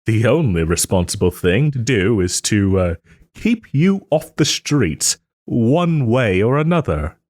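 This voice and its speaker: A man playing a smug but soft-hearted nobleman and former lover in an audio roleplay, suave and refined, with gentle teasing and hesitant pauses. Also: lowish voice, big pitch swings, dry recording, studio quality. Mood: measured, reasoning. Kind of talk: audio roleplay, dramatic monologue to listener.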